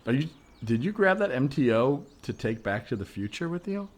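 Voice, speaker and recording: A man improvising a skeptical, uneasy ordinary neighbor in a comedy podcast scene, reasoning patiently in a hesitant, deadpan way. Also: mid-range voice, normal speed. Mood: matter-of-fact.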